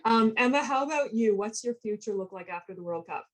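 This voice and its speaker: A woman's voice: fairly high pitch, animated, a little fast, poor audio. A woman moderating an online panel, upbeat and encouraging with the guests. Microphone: video call.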